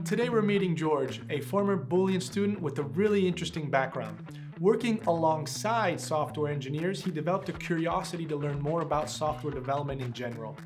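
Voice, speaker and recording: A man with a fairly high voice hosts an interview, reading his questions in an upbeat, friendly manner. Music plays clearly beneath his voice throughout. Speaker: interviewer for the academy. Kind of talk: scripted interview host.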